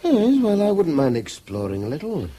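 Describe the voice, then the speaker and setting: A man's voice: fairly high pitch, huge pitch swings, normal speed, warm tone, slightly rough, heavily acted. An actor playing a polite, mild-mannered Englishman in a radio drama, courteous and slightly hesitant. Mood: relaxed, genial. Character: polite, mild-mannered middle-class Englishman, former soldier. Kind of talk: British radio drama acting.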